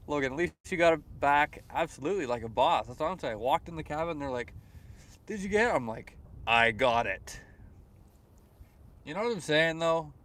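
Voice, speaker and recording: A man's voice: a little high, expressive, normal speed, pauses often, a bit bright. Chatty young Canadian man livestreaming outdoors. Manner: rambling, repeats words, chuckles often. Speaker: fishing livestreamer. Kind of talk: casual live-stream chat reading comments. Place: outdoors at a lake.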